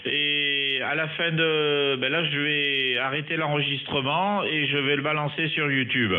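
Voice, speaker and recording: Phone-like male ham radio operator, slightly high. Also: fairly even intonation, unhurried. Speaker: amateur radio operator, recording for his online channel. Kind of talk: casual ham radio chat. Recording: narrow, phone-like radio sound.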